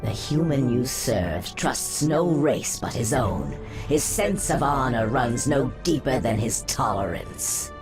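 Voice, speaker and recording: A woman's voice: rumbling low, sing-song, slow pace, hardly any pauses, exaggerated voice. A woman playing a cunning, seductive serpent sorceress in a fantasy game, speaking in a formal, coaxing manner. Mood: urging. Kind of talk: fantasy game cutscene dialogue. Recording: dramatic music behind.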